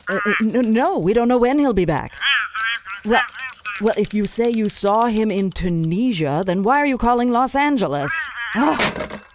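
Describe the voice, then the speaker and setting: A woman playing a flustered, loyal studio secretary, hesitant and harried in an old-time serial style. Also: fairly high pitch. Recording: clearly noisy, typing behind.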